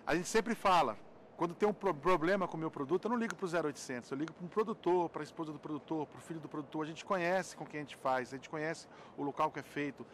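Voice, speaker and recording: A man's voice: fairly high pitch, fast, fairly bright tone, slight background noise. A man in his thirties, a restaurant owner, talking in an outdoor interview. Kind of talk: interview answers. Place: outdoors near a parking lot.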